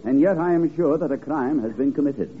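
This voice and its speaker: An actor playing a pompous, absent-minded master detective with theatrical delivery in a 1950s radio comedy spoof. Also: male voice, a little high, brisk, lightly acted. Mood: theatrical impatience. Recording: tinny, band-limited old radio recording with hiss.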